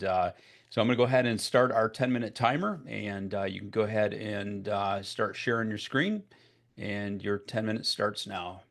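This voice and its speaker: A man hosting a vendor pitch session over a video call, talking casually and haltingly with many fillers. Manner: filler-heavy, halting with many "you know"s. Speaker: webinar host.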